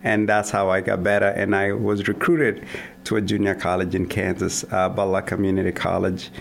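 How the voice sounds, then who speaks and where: A man's voice: sits low, level tone, moderate pace, vocal fry, a little hiss or hum. A young man with a Kenyan accent, talking as an interview guest, hesitant with many fillers and restarts. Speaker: guest, running coach and athlete. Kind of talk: casual interview conversation.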